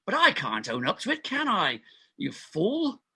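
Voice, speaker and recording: A man's voice: high voice, wildly swooping pitch, a little slow, bright, forceful tone, breathy edge, heavily acted. A man playing an exasperated, self-important hospital doctor, indignant and emphatic, in a comic stage farce reading. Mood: exasperated, scheming. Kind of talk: comic farce readers theatre.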